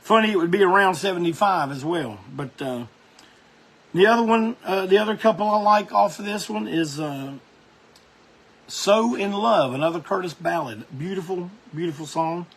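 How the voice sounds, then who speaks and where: A man's voice: high voice, animated, normal speed, fairly bright tone, husky. A man hosting a music show, talking casually and enthusiastically about records, rambling with lots of restarts.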